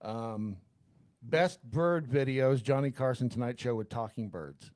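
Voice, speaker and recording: A man's voice: medium pitch, measured pace, darkish tone, no room sound. A man co-hosting a show in casual banter, reading comments aloud. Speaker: show co-host.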